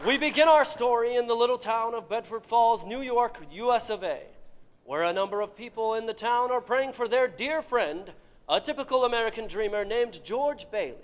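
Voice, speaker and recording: An actor playing a showy 1940s radio announcer hosting a live broadcast on a theater stage. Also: male voice, very high-pitched, normal speed. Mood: announcing. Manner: showy, emcee patter. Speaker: radio show host. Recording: echoey hall with crowd.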